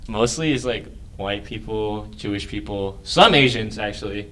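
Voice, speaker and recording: A young man from Maryland chatting casually and excitably with friends on a podcast, teasing and full of slang. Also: lowish voice. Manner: excitable, slangy, quick to tease. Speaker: podcast co-host. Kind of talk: casual chat.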